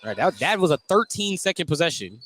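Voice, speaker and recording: A man's voice: fairly high pitch, very animated, quick pace, fairly bright tone. An American man doing live radio play-by-play of a basketball game. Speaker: play-by-play announcer. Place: indoor school gym during a game. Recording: noisy gym broadcast. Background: gym noise, bouncing basketballs.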